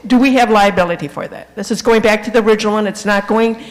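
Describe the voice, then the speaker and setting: A man's voice: high-pitched, fast. A man at a board meeting who keeps pressing an insistent question about liability.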